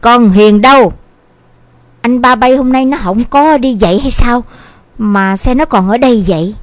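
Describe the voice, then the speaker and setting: A woman's voice: on the high side, measured pace, no echo, natural voice. A woman voicing a scolding, exasperated middle-aged village mother in a dramatised audio story, with a southern Vietnamese accent and exclamatory delivery. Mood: brisk questioning.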